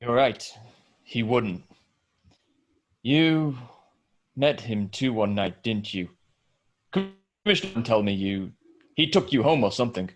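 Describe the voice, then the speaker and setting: A man's voice: medium pitch, animated, slow pace, pauses often. A man reading the part of a guarded, secretive wealthy bachelor in a hesitant, understated way at a script table read.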